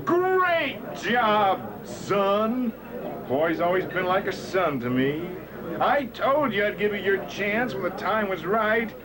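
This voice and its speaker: Hammy, high-pitched nightclub comic. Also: male voice, animated, slow, a crowd in the background. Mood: smarmy. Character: corny, pushy male comic and talent manager. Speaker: comedian and manager. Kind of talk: stand-up joke patter.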